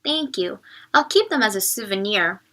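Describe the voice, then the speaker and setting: A woman's voice: mid-range voice, expressive, normal speed, bright, forceful tone. A woman voicing a shy, earnest and polite young schoolgirl in a children's storybook. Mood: pleased.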